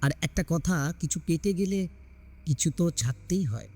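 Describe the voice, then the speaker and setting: A man's voice: on the high side, animated, normal speed, warm tone. A man voicing an affectionate, sentimental young wife in a narrated horror story. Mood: loving.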